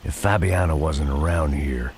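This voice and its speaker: An actor with an American accent playing a middle-aged, cynical, deadpan, self-loathing hard-boiled ex-cop in a noir video game. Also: male voice, a bass voice, moderate pace, slight background noise. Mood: bitter, brooding. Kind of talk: noir game narration and dramatic dialogue. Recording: dramatic music behind.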